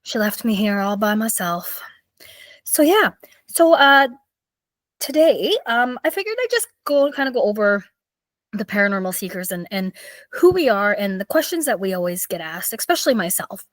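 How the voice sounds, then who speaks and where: A woman in her thirties with a raspy voice, hosting a podcast and chatting casually with lots of fillers. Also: mid-range voice, very animated, moderate pace, dry recording. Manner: chatty, rambling, with many fillers. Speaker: podcast host. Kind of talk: casual solo storytelling.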